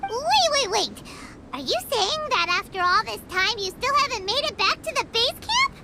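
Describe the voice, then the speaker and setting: A woman voicing a small, excitable fairy companion in a video game, over loud game music. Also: normal speed, very noisy, heavily acted. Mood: incredulous. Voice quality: very high, bouncy. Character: tiny floating fairy companion, excitable girlish.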